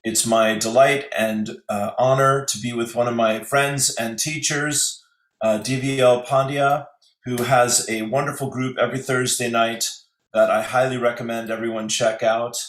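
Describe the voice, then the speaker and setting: A man's voice: mid-range voice, fairly even intonation, moderate pace, a bit bright. A man hosting an online spiritual interview show, speaking casually over a video call and affirming his guest often. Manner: friendly and affirming, frequent "yeah" and "beautiful". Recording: roomy video call audio.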